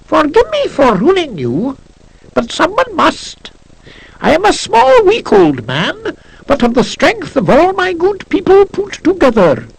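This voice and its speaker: A man voicing a blind, weak old emperor, gently menacing, in a story reading. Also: very high voice, wildly swooping pitch, a little slow, vocal fry, voice pushed up, full character voice. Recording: hissy lo-fi cassette transfer.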